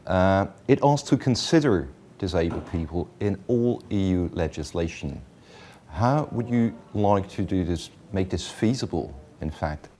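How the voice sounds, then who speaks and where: A man's voice: low-pitched, animated, measured pace, dark tone, a little hiss or hum. A man interviewing a guest, asking probing questions with some hesitant fillers.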